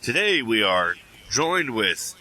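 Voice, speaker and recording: A man's voice: medium pitch, very animated, slow pace, sharp tone. A man hosting a casual podcast, teasing his co-hosts and laughing often. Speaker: podcast host.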